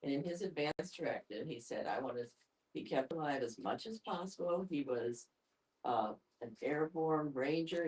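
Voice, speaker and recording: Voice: medium pitch, darkish tone. Older speaker, heard from a distance. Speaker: psychologist, discussion participant. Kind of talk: reflective group discussion. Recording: distant microphone.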